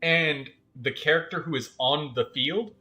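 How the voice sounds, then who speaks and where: A man giving casual, explanatory game commentary as a streamer, halting now and then as he thinks. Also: on the high side, pushed and bright, faint music behind.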